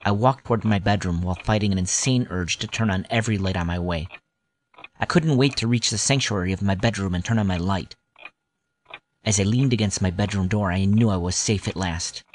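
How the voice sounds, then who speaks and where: A man's voice: lowish voice, a little fast, a little hiss or hum, close to an everyday voice. A man playing a grown-up wryly recalling his boyhood in scripted first-person audio drama narration. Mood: wry, nostalgic. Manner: wry, nostalgic storytelling.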